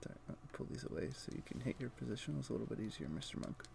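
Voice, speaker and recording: A man streaming a video game, thinking aloud in a low-key, conversational way with casual commentary. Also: expressive, racing, creaky, background noise. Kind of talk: casual live gameplay commentary and chat.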